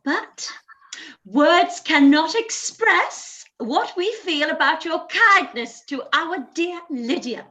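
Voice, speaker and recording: A fussy, gushing mother, played by a woman in a live-read radio play. Her very high, bright voice stretches every word out slowly and theatrically. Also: expressive, heavily acted, rough recording.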